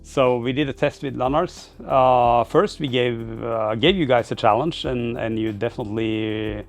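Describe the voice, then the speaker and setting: A man's voice: mid-range voice, normal speed, faint music behind. A man giving informal interview answers as a startup founder, in a hesitant, loosely phrased, rambling way. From Norway.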